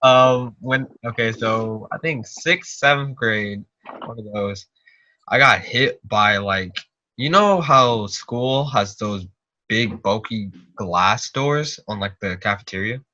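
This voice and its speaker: A teenage guy with a fairly low, bright voice talks casually and slangily, rambling through anecdotes. The recording is dry and a little dull. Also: slow pace, no room sound. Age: teens. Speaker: podcast guest.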